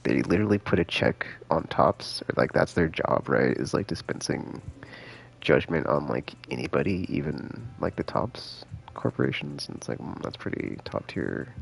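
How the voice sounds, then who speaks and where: Very deep, gravelly male streamer. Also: sing-song, moderate pace, low-quality recording. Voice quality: gravelly, creaky. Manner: laid-back, slangy, thinking out loud. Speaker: game streamer. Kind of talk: casual live-stream chat, rambling. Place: live stream with game music behind the voice.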